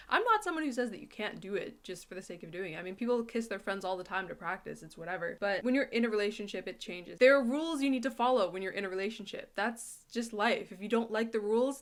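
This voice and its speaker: A woman giving casual, animated reaction commentary as a host, often acting out how she imagines people would respond. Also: mid-range voice.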